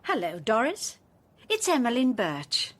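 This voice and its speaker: An actress playing a brisk, courteous woman at a detective agency in a radio drama, polite and efficient. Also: on the high side, huge pitch swings, fairly bright tone, a little hiss or hum, full character voice. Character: Miss Birch, brisk, courteous woman office partner.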